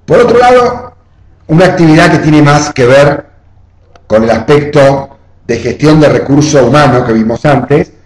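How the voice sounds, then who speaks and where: A man's voice: on the high side, expressive, moderate pace. A man giving an online class lecture, explaining step by step and often checking in with "¿eh?". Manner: explanatory, often adds "o sea" and "¿eh?". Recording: roomy echo.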